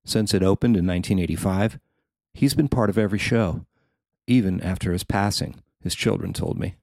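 A man with a fairly low voice narrates a written feature article. He reads from a prepared script as its writer, in a dry recording with very little room sound. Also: brisk, gravelly, darkish tone, dry recording. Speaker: newspaper writer reading own article.

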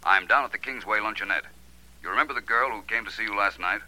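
An actor playing a suave, unflappable private detective in a 1940s radio drama, speaking with polished, dry understatement. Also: male voice, fairly low pitch, fast talker, pushed and bright, poor audio. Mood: brisk, serious.

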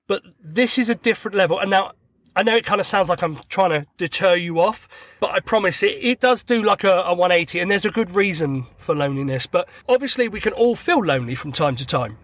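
A middle-aged man from the UK hosting his own podcast, his voice croaky from a cold, chatting and joking at himself while sat in his parked truck. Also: high-pitched, fairly bright tone, dry recording. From United Kingdom. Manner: chatty, self-deprecating, joking. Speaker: podcast host. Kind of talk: casual solo podcast monologue. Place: inside his parked truck cab by a main road.

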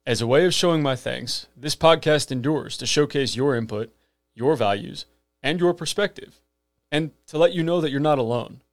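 A man's voice: fairly high pitch, normal speed, no echo. Earnest millennial man hosting a podcast. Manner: earnest, rhetorical, emphatic lists. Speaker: podcast host. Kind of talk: scripted podcast monologue.

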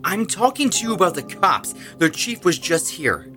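A man's voice: high-pitched, very animated, moderate pace, music in the background. A man playing an anxious, obsessed rock musician turned killer in an audio horror drama, intense and desperate. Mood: agitated.

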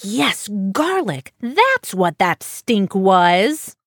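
A woman's voice: mid-range voice, big pitch swings, very slow, fairly bright tone, no room sound, studio quality, full character voice. A woman voicing a proud, touchy male snake who sells shoes, speaking in an indignant, hissing manner.

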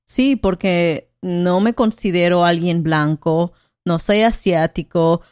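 A woman's voice: fairly low pitch, slow pace, darkish tone, smooth, no room sound, clean studio sound. A woman from Mexico in casual conversation, talking thoughtfully and hesitantly, often ending with "¿no?". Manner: thoughtful, hesitant, with frequent "¿no?" tags.

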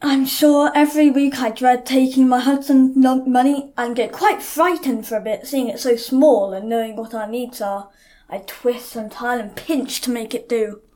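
A woman's voice: a little high, steady tone, normal speed, dark tone, lightly acted. A woman playing a careworn, thrifty working-class railwayman's wife and mother in a 1919 audio drama monologue. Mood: worried, resolute.

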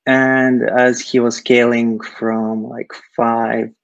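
A man from Serbia, answering interview questions haltingly over a video call, filling pauses with lots of uh. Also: medium pitch, level tone, creaky, no echo, highs cut off. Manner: hesitant, many uh fillers. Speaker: guest, startup technical founder.

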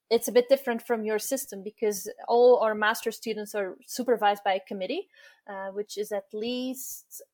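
A woman, not a native English speaker, who works as a university researcher, explaining things in a calm, measured way. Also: mid-range voice, no echo. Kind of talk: casual conversation.

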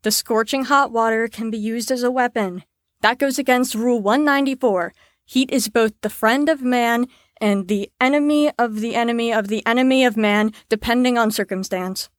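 Harried, placating female group leader for audio drama, acted by a woman: highish, officious, closely recorded. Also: moderate pace, no room sound, clean studio sound, close to an everyday voice.

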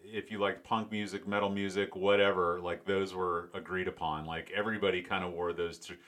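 A middle-aged man from Florida hosts a loose, casual interview. His voice sits very low and deep, yet the tone comes out bright and forward. He rambles, circling back with fillers and half-finished restarts before landing on his question. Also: little pitch movement, fairly quick. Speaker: podcast host.

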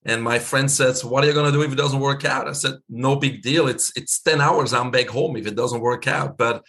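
A man in his fifties from Belgium with a Belgian accent, a guest telling his story in a relaxed, chatty way with many fillers. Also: mid-range voice, a little fast. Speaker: guest, physician. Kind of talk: casual storytelling interview.

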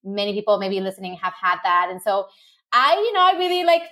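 A woman's voice: medium pitch, big pitch swings, fast, bright, forceful tone. A woman from Mexico, a guest on a virtual interview, talking casually with lots of fillers and restarts over a video call. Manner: chatty, many fillers and restarts. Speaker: guest, body positivity creator.

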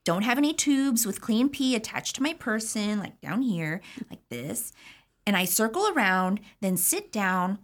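An actress playing a woman who tells a chatty, wry story about probation. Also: mid-range voice. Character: woman recalling probation drug tests. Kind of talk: audio drama acting.

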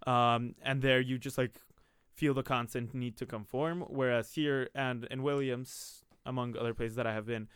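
Smooth-voiced young man. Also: medium pitch, steady tone, moderate pace, few pauses, a bit bright, no echo. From Colombia. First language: Spanish. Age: young adult. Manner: chatty, many "like" fillers. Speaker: guest. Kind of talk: casual interview chat.

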